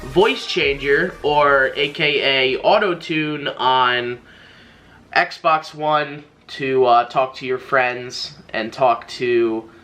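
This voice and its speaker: Bright-voiced young man, rambling. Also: medium pitch, fairly even intonation, very slow. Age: young adult. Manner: rambling, repeats and rephrases himself. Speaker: YouTuber, tutorial host. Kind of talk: casual tutorial talk to camera. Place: small bedroom gaming setup, indoors. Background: background music.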